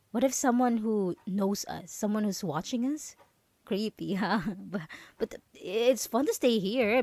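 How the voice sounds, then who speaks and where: A possessive yandere roommate, played by a woman in an ASMR audio drama, speaks in a dry, close sound. She is falsely sweet and anxiously controlling, coaxing in halting phrases with a nervous air of innocence. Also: mid-range voice, no echo.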